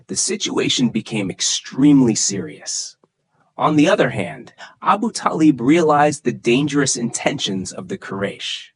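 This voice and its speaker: A man's voice: medium pitch, a bit bright, husky, low-quality recording. A man narrating a scripted religious story for children in a dramatic storytelling manner.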